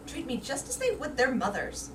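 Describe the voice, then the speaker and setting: A woman's voice: sits high, wildly swooping pitch, moderate pace, fairly bright tone, heavily acted. A woman playing a vain, anxious middle-aged wife in a staged reading, with a theatrical, emotional delivery. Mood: coy fussing. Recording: distant roomy stage recording with background noise.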